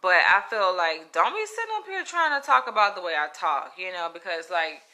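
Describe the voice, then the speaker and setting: A woman with a Southern US accent, telling a story to camera in a frank, animated, profane way. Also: fairly low pitch, brisk. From Florida, US. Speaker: comedian. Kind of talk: casual storytelling vlog.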